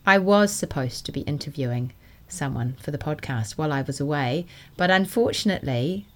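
A woman from New hosting a knitting podcast, chatting casually and enthusiastically, her voice still a little croaky from a cold. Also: deep voice, expressive, a little fast. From New Zealand. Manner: chatty and enthusiastic, self-deprecating. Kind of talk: casual solo podcast chat.

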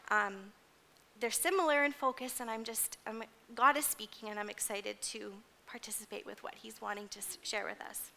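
Woman, 40s, church lay speaker. Slightly high, bright voice; earnest, hesitant, conversational sermon delivery. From grew up in Indonesia as a missionary kid, later moved to Canada. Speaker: church music ministry leader giving a sermon. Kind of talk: personal, informal sermon to a congregation.

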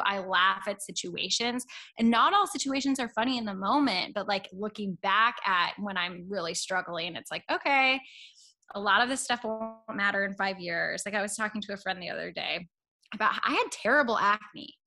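A bright, clear-toned woman in her thirties chats casually as an interview guest. She talks loosely and candidly, pokes fun at herself and laughs often, with plenty of "like" and "you know" in her speech. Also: medium pitch. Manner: chatty, self-deprecating, laughs often. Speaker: podcast guest.

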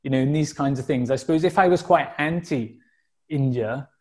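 A man's voice: medium pitch, normal speed. A man in his thirties from South Wales with a Welsh accent, a guest talking openly and reflectively in a casual interview, with frequent fillers and restarts. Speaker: podcast guest.

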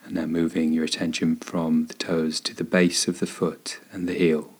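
A man guiding a body scan meditation in a gentle, soothing, unhurried way, pausing between phrases. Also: rumbling low, flat delivery, husky. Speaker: meditation guide.

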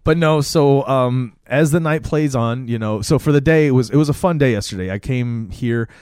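A man's voice: mid-range voice, moderate pace, darkish tone, clean tone, dry recording, clean studio sound. A man co-hosting a podcast in casual, sweary, bro-ish chat. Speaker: podcast co-host. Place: small podcast studio.

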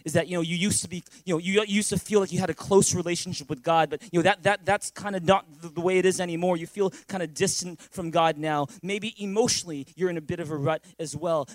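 Male pastor, higher-pitched, conversational storytelling sermon, repeats phrases, dry recording. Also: dry recording. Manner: repeats phrases and checks in with listeners.

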